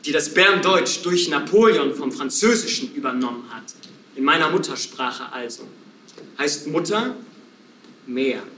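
A male stage performer reciting a reflective, tender passage about his mother in a slow recitation. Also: fairly high pitch, bright, forceful tone, distant mic. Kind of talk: theatre recitation of a novel. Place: theatre stage. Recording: roomy stage recording from afar, faint noise.

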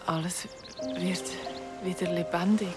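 A woman's voice: on the low side, fairly even intonation, a little slow, loud music behind the voice, close to an everyday voice. A woman playing an anxious young ghost who longs to live, in a naturalistic radio play, emotional and colloquial, with a Swiss German accent. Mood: fragile, yearning.

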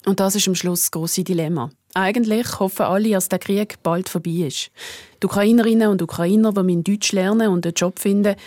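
A woman's voice: mid-range voice, steady tone, fairly quick, darkish tone, dry recording, studio quality. A woman with a Swiss German accent reading a scripted radio news report.

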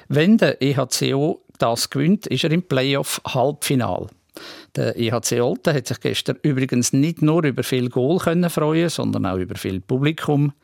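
A man's voice: mid-range voice, vocal fry, dry recording, studio quality. A man with a Swiss German accent presenting regional radio news from a script.

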